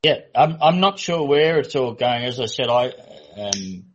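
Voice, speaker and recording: Man, middle pitch, husky, measured and stop-start, muffled lo-fi audio. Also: lively intonation. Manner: measured, stammering restarts. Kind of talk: casual discussion. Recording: muffled lo-fi recording.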